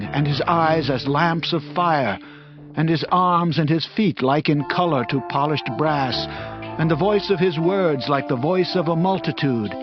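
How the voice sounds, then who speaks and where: Man playing an earnest, higher-voiced devout prophet. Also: natural voice. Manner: earnest, reverent, declaiming. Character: devout, humble male prophet, earnest and awestruck. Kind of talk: dramatized first-person scripture reading. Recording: slightly dull sound, music underneath.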